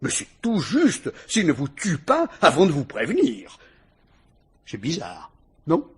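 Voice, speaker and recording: A shrewd, ironic police inspector from an old radio mystery. The voice sits a little high and rises and falls animatedly, with a husky, rough edge as he needles his suspect. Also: wildly swooping pitch, unhurried, full character voice. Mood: probing, ironic. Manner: sly, probing, mocking interrogation. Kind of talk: vintage French radio drama acting.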